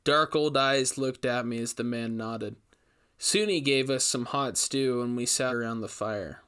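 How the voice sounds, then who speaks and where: A man playing an investigator who recounts a frightening ordeal in measured, emphatic, scripted first-person narration. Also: mid-range voice, fairly even intonation, fairly bright tone, smooth, close to an everyday voice. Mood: tense, recounting. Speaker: narrator reading a story.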